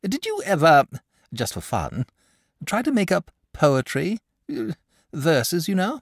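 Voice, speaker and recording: A man's voice: on the high side, wildly swooping pitch, slow pace, vocal fry, no room sound, clean studio sound, voice pushed up, exaggerated voice. Genial bashful dragon, polite and chatty, lifted voice, swooping lines, man doing it. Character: genial bashful male dragon, lazy poet.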